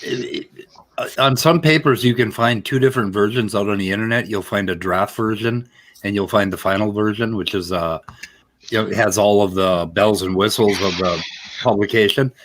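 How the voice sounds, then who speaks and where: Low-voiced blunt stream host. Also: male voice, normal speed, a bit bright. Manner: blunt, opinionated. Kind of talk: casual live-stream commentary.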